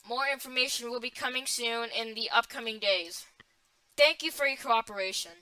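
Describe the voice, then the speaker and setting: A woman's voice: fairly high pitch, normal speed, pushed and bright, smooth, no echo, rough recording. A woman playing a flustered emergency alert announcer in an amateur scripted broadcast, stumbling and restarting phrases. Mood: flustered, reporting.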